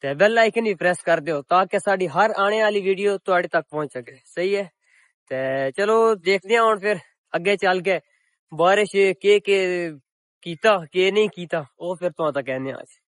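A man's voice: high-pitched, moderate pace, a bit bright, dry recording. A young man in his twenties with a Punjabi accent, chatting to his viewers in a casual village vlog, filmed on a phone held at arm's length outdoors on a windy day. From Pakistan. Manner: chatty, addresses viewers as public. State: recovering sore throat. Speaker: village vlogger. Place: open countryside outdoors on a cloudy, windy day.

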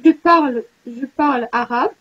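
High-voiced woman teaching over a call. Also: unhurried, fairly bright tone, breathy edge. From Morocco. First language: Arabic. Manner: encouraging, explains step by step. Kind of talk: guiding an online language class. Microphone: video call. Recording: dull lo-fi call audio.

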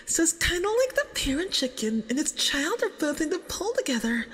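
A very high-pitched, nervous femboy boyfriend played by a woman in an ASMR roleplay. He is sweetly doting and halting, with a clingy, obsessive streak. The voice is doubled and sits in a long reverb. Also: sing-song, fairly bright tone. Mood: nervous, chatty. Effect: doubled audio with added reverb.

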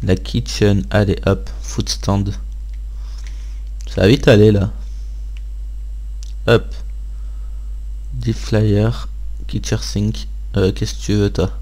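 Low-voiced man doing casual game commentary. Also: level tone, takes it slowly, pauses often, darkish tone. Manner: informal, thinking aloud. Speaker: gaming video host.

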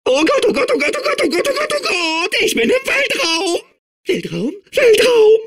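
A man playing a manic, childlike robot sphere obsessed with outer space in a video game, babbling and repeating himself in a strained, husky voice. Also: very high-pitched, moderate pace, full character voice. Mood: overwhelmed joy. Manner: excitable, babbling, repeating words compulsively. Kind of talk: video game character voice clips.

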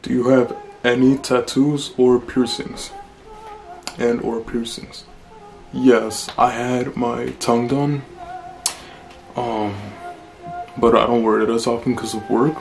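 A man in his twenties with a deep voice, chatting casually through a Q&A vlog in a small room, blunt and relaxed. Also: slow, creaky, pauses often, faint music behind. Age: 20s. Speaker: vlogger.

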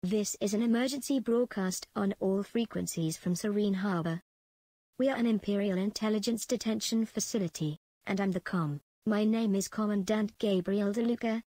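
A woman's voice: medium pitch, normal speed, mellow, no echo, close to an everyday voice. An actress playing a ruthless female prison commandant in a space empire, dictating clipped official log entries for a video game. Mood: shaken, urgent.

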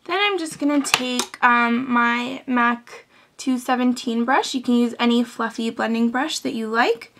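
A young woman with a high, gravelly voice that is hoarse from illness explains a makeup look step by step. She talks in a chatty, instructive way and sprinkles in "um"s. She sounds close to a camera mic in a small bedroom. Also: measured pace, fairly bright tone, a little hiss or hum. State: hoarse from illness, losing her voice. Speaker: makeup tutorial host. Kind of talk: step-by-step beauty tutorial.

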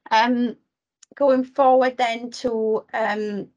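A woman's voice: fairly high pitch, steady tone, drawn-out words, darkish tone, highs cut off. A woman from Wales giving an earnest work report on a video call, with frequent ums and restarts. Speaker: Welsh language officer reporting to committee.

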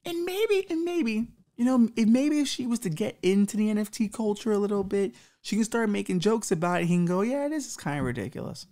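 Gravelly man in his thirties with a slight lisp. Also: sits high, expressive, fairly quick, hardly any pauses, mellow. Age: 30s. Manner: profane, rambling, self-mocking. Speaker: podcast host. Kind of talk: rambling advice monologue.

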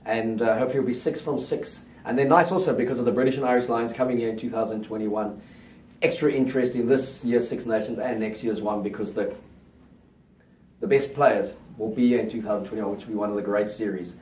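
A middle-aged rugby pundit talking in a small studio, confident and steady as he lays out his predictions. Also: lowish voice, fairly quick, distant mic, slight background noise. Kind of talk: casual rugby chat. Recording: roomy studio sound, mic set back.

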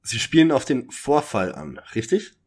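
A man's voice: medium pitch, sing-song, moderate pace, darkish tone, breathy edge. A man playing a skeptical, sarcastic young detective in a radio play parody. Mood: probing.

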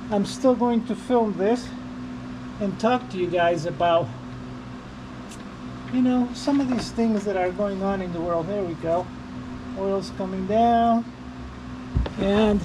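A man from Portugal, chatting casually in a halting, rambling, unscripted vlog style while he works. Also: high-pitched. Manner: halting, rambling, repeats himself. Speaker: vlogger. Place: working on a car during an oil change. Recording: noisy, with vehicle and ambient hum.

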